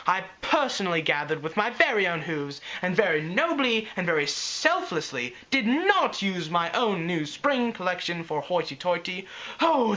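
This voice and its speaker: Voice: medium pitch, pushed and bright, voice pushed up, poor audio. A reader voicing a dramatic, vain young unicorn mare who speaks in a grand, self-important way.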